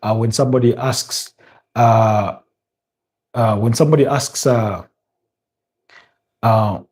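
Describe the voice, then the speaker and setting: Man, Ugandan accent, warm mellow voice, football preview host, halting, lots of fillers. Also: mid-range voice. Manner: halting, many fillers and restarts. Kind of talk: casual live-stream football talk.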